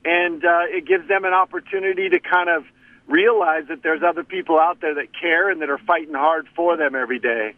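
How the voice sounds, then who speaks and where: Phone-in guest: a fifties man who is a sheriff, earnest and plain, slightly high voice, tinny line. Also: brisk, dry recording. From Arizona. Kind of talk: casual chat over the phone.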